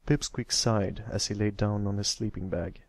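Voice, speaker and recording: A man's voice: on the low side, steady tone, moderate pace, no room sound, studio quality. A man reading a story aloud as an amateur narrator of fan fiction.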